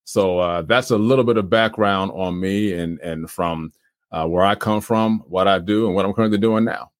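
A man, a guest on a podcast, talking casually about himself with many pauses. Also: low-pitched, no echo, studio quality. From Trenton, New Jersey. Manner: relaxed, many fillers and uhs. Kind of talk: casual interview talk.

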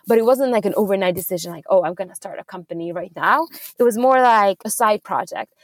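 A woman's voice: medium pitch, fast talker, no echo. A young woman from Hungary, a startup founder, answering interview questions in an earnest, fluent way with small restarts.

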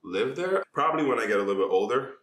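A man's voice: mid-range voice, expressive, fast, fairly bright tone. A man with a Jamaican accent chatting casually as a podcast guest, speaking in a loose, slangy way. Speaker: podcast guest, social media page creator. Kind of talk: casual interview chat. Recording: echoey room.

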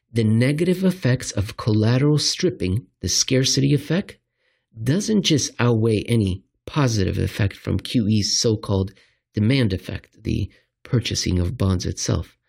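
Husky-voiced man reading financial commentary. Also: fairly low pitch, expressive, normal speed, a little mellow. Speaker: reader of a written commentary. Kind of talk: read-aloud financial commentary.

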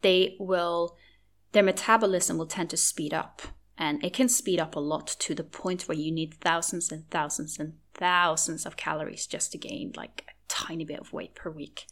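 A young woman hosts her own podcast in a slightly low voice. She speaks in earnest and reassuring tones and repeats her main points for emphasis. Now and then she drops to a soft near-whisper. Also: fairly even intonation, moderate pace. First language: Norwegian. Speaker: podcast host. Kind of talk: solo podcast advice talk.

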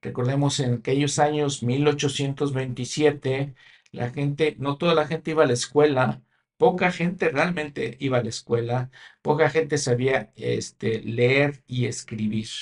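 A Spanish-speaking man hosting a religious podcast, explaining history in a teaching manner, with frequent fillers and restarts. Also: fairly high pitch. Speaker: podcast host.

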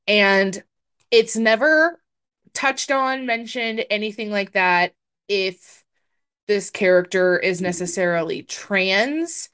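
A young adult woman with a deep voice for a woman, co-hosting a podcast in chatty casual talk full of fillers. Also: slow pace, a bit bright, clear, resonant tone. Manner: chatty, hedging with many fillers. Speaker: podcast co-host. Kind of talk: casual chat.

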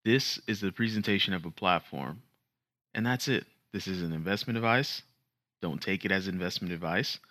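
A man's voice: sits low, moderate pace, pushed and bright. A man hosting a podcast, opening the show and questioning a guest in a casual, chatty way. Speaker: podcast host. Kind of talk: show intro and interview.

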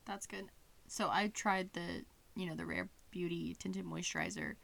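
A woman's voice: fairly low pitch, big pitch swings, gravelly, fairly bright tone. A woman co-hosting a podcast, chatting casually and chiming in agreeably. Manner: agreeable, quick to chime in. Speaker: podcast co-host. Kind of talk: casual chat.

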